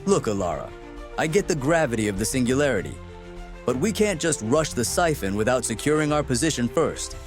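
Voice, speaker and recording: A firm, cautious army major, acted by a man, argues in a radio drama with an animated, swinging intonation. Faint music runs underneath. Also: medium pitch, brisk, close to an everyday voice. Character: firm cautious male army major, defense logistics expert. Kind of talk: scripted radio drama debate.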